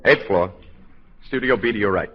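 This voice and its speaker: An actor playing a halting, matter-of-fact elevator operator in a 1940s radio comedy. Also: male voice, on the low side, lightly acted. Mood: dutiful. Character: hesitant, slow-witted male elevator operator. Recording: muffled, noisy vintage 1940s radio broadcast.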